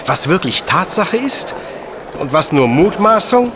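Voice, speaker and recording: An animated, superior professor detective. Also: male voice, high voice, measured pace, noisy background, exaggerated voice. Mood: musing, deducing. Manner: precise, didactic, superior. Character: brilliant, self-assured professor detective, deducing coolly. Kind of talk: German audio drama acting.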